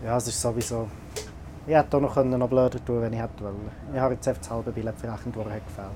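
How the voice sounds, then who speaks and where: Over clear train noise, a conductor speaks in a medium-pitched voice with a Swiss German accent. The talk is casual and practical, like one colleague explaining the job to another. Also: fairly even intonation, fast talker, warm tone. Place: aboard a moving passenger train. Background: train rumble and vehicle noise.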